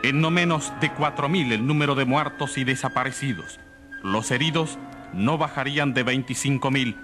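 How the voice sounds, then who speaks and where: A man's voice: medium pitch, close to an everyday voice. An actor playing a radio newsreader, a man reporting a catastrophe in a formal, grave bulletin for a radio drama. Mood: grave, urgent. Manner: formal, grave, measured phrasing. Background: music.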